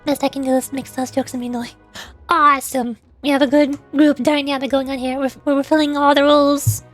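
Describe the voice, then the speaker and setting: A woman's voice: sits high, flat delivery, a little fast, soft background music. A woman streaming a game, chatting casually and playfully with her viewers. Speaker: VTuber streamer. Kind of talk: casual live-stream gameplay chat. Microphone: desk microphone.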